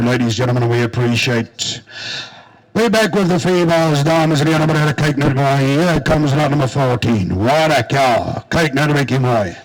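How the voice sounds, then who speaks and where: A man's voice: medium pitch, poor audio. A man with a South African Afrikaans accent working as a livestock auctioneer, calling in a repetitive, rhythmic auction style. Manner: repetitive rhythmic bid calling, switching between two languages. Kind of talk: auction chant and commentary.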